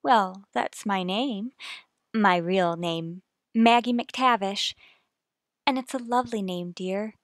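A woman's voice: mid-range voice, slow pace, dry recording, clean studio sound. A woman playing a devoted, gushing young wife in an old-time radio mystery. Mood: tender, gushing.

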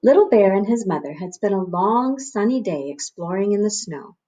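A woman's voice: mid-range voice, animated, normal speed, mellow. A woman, narrating a picture book expressively for children as a storyteller. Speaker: read-aloud host, storyteller. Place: small room at home, on a couch.

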